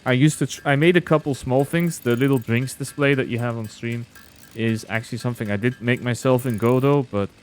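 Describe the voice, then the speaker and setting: A young man from Belgium, streaming a game and chatting casually with his viewers in a relaxed way. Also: mid-range voice, fairly quick, soft background music. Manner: relaxed, chatty, reading and answering chat. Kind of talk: casual gameplay commentary with chat.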